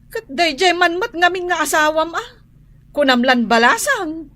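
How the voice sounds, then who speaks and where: High-pitched, nosy village gossip. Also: female voice, animated, normal speed, bright, forceful tone, no room sound, heavily acted. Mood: gossipy. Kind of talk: radio drama acting.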